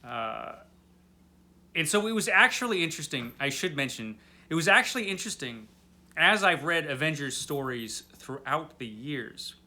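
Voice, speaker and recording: Male vlog host, slightly high, bright voice, drunk and rambling through a casual review with dry humor. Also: very animated, moderate pace. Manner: tipsy, rambling; wry, tongue-in-cheek. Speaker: host of a comic book read-along.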